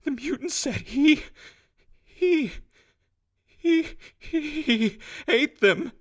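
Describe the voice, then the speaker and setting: A woman's voice: high voice, expressive, drawn-out words, full character voice. A woman playing a panicky, squeamish deputy guard who stammers nervously in a post-apocalyptic radio drama. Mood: nervous, panicked.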